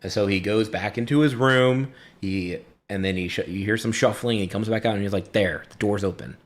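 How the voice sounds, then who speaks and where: A man's voice: on the low side, fairly quick. A man narrating casually as game master of a tabletop game, informal and a little hesitant.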